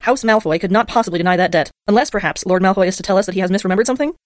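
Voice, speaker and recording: A woman's voice: mid-range voice, racing, no room sound, studio quality, natural voice. A woman playing a shrewd, commanding old witch in an audiobook, speaking formally and pointedly. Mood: dry, pointed. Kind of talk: audiobook character acting.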